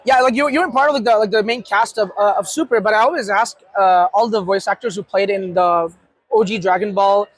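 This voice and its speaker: A man interviewing a guest, asking his questions haltingly with many restarts. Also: sits high, fairly bright tone. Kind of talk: casual convention interview questions.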